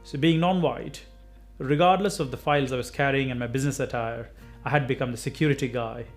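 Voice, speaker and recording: A man's voice: medium pitch, fairly even intonation, fairly quick, soft background music. A man speaking in a composed, sincere way in a corporate testimonial.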